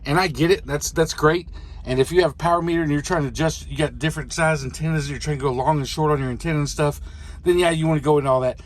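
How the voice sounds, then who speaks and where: A man's voice: on the high side, fairly even intonation, fast talker. A middle-aged American man, a truck driver, giving a casual hands-on tutorial in a plain, folksy way. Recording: clearly noisy vehicle cab.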